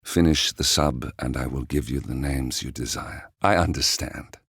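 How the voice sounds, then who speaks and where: A man playing a grave, controlled covert operative in a video game, speaking in a measured, deliberate and serious way. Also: very deep voice, fairly bright tone, husky, dry recording, clean studio sound, full character voice. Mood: calm, calculating. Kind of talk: video game voice acting, mission briefings and story narration.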